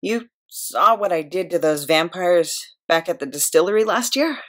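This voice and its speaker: A woman's voice: on the low side, lively intonation, normal speed. A woman playing a nervous, hesitant, war-haunted immortal in an audio comedy drama. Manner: hesitant, stammering, anxious.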